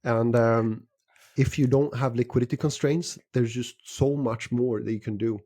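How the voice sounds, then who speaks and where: A middle-aged man from Sweden, answering interview questions in a measured way with frequent fillers and restarts. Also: mid-range voice, steady tone, mellow, dry recording, clean studio sound. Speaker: guest investor.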